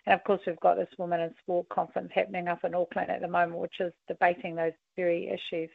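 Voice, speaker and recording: A woman in her forties with a husky voice, a rugby union deputy chair giving relaxed, filler-heavy radio interview answers. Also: on the low side, quick pace, no room sound. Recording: tinny phone line.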